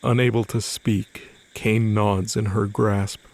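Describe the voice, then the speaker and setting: A man's voice: lowish voice, little pitch movement, takes it slowly, a little mellow. A man reading scripted narration for an audio drama in a dramatic storytelling style. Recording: faint music behind the voice.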